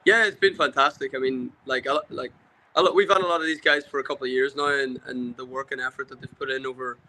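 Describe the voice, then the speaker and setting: Soccer coach guy, bright voice, easygoing, kinda halting answers. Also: medium pitch, steady tone, poor audio. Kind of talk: casual interview.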